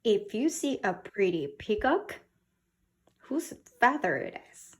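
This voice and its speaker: A woman from South Korea reading a picture book to young children as a teacher, with simple, expressive talk and questions. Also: medium pitch, slow pace. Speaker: children's English read-aloud teacher.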